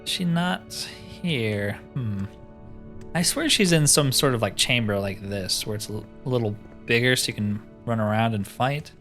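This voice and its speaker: Man, mid-pitched, casual let's play host who thinks aloud and questions himself, music underneath. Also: normal speed, fairly bright tone. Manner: musing, talks to himself in questions. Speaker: host of a gaming roleplay series. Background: music behind the voice.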